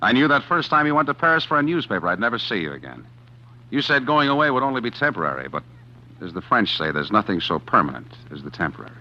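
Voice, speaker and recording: An actor playing a bitter, scheming estranged husband in a 1940s radio mystery, speaking in a halting, brooding way. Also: male voice, fairly low pitch, big pitch swings. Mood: bitter, needling. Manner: hesitant, brooding, with halting repeated words. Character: bitter, scheming estranged husband narrating his own tale. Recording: dull 1940s radio recording with music.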